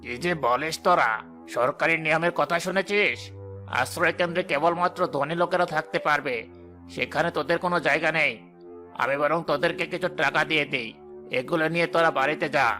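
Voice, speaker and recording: Guy doing a greedy cartoon village chief, kinda high sharp voice, bossy and dismissive, faint music behind. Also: a little fast. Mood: evasive, self-important. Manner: dismissive, scolding, self-important. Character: greedy, callous, deceitful village headman and husband. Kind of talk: cartoon voice acting.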